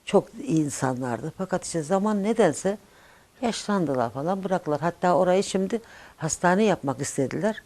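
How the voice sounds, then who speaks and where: A woman's voice: on the low side, animated, moderate pace, darkish tone. An older woman, reminiscing casually in short, plain, chatty phrases.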